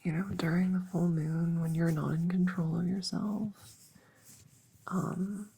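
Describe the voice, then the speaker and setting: A woman playing a friendly, goofy witch vet in a soft ASMR roleplay, gently chatty and reassuring with halting, teasing delivery. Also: lowish voice, steady tone, slight background noise. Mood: casual, explaining. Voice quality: soft, breathy, often whispering, with creak.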